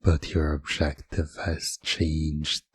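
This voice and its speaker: A man narrating a scripted hypnosis story in the second person, in a deliberate, soothing, commanding ASMR manner, close to the microphone. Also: very deep voice, monotone, husky, no echo.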